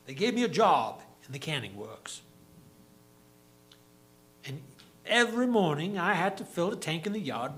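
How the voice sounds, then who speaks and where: A man as a deliberate cannery worker storyteller. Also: on the high side, fairly bright tone. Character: steadied, working-class cannery man recounting an accident. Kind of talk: theatrical monologue, plain storytelling.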